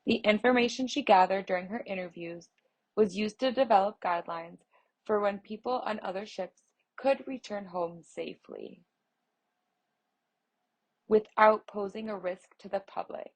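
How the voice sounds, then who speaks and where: A woman reads a news feature out loud at a mid-range pitch, her delivery steady and measured. She stumbles on a word now and then, restarts, and carries on in the same even manner. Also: rough recording. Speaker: voice interpreter reading an article. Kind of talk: reading a news feature aloud.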